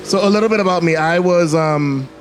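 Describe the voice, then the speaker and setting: A man's voice: on the high side, brisk, a little hiss or hum. A man in his forties with a Bahamian accent mixed with American, a filmmaker talking reflectively as an interview guest. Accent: Bahamian with American mix. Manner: reflective, many fillers and restarts. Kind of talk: casual interview talk.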